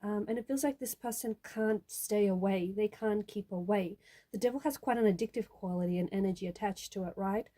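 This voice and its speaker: Mellow-voiced woman giving a tarot reading. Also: medium pitch, a little fast. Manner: repetitive, rephrasing each point for emphasis. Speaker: tarot reader. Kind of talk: intuitive tarot reading addressed to viewer.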